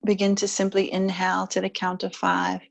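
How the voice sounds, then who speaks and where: A woman leading guided relaxation and gentle yoga, speaking in short, soothing, unhurried phrases with long pauses between them. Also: medium pitch, completely flat delivery, creaky. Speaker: yoga nidra and stretch instructor.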